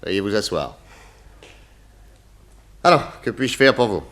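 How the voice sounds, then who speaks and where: An actor playing a confident, amused private detective in a 1960s French radio drama, questioning without hurry. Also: male voice, lowish voice, very animated, unhurried, a bit bright. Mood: courteous, measured.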